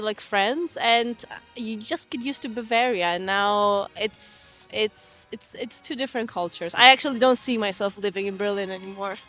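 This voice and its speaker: A woman's voice: a little high, fairly bright tone. A woman from Greece telling a candid, reflective personal story in a street interview.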